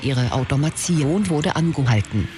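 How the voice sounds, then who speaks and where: System voice male actor, sci-fi radio play. Formulaic, emotionless status messages at a middle pitch. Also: lively intonation, normal speed, over loud music, natural voice. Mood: emotionless, formal. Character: impersonal computer system voice giving status messages. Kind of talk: flat system announcements in radio drama.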